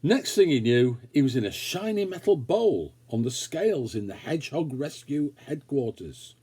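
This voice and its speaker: A man reading a children's story aloud, expressively and with dramatic pauses. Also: medium pitch, big pitch swings, warm tone, breathy edge. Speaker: story reader. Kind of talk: scripted children's story narration.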